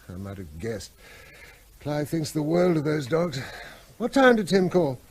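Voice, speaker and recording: An actor playing an earnest veterinary surgeon in a radio drama, who talks urgently and persuasively. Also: male voice, fairly high pitch, big pitch swings, measured pace, husky, exaggerated voice. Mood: weary.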